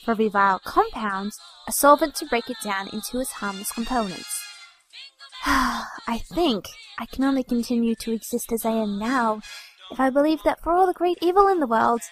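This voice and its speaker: A woman voicing an earnest, optimistic young pony scientist in an audio drama, speaking gently and precisely. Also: fairly high pitch, moderate pace, faint music behind, lightly acted, poor audio. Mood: earnest, hopeful. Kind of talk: scripted audio drama dialogue.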